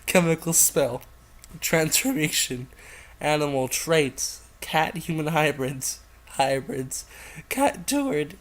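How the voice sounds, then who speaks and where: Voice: medium pitch, lively intonation, takes it slowly. A host casually reading fan fiction aloud and rambling off into irreverent, giggly side comments. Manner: giggly, irreverent, easily sidetracked.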